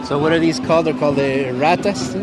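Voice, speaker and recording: A man from Canada, hosting a live walking tour of a night street festival and chatting with viewers over loud music. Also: a little high, steady tone, quick pace. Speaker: live-stream host. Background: loud live folk music and a crowd.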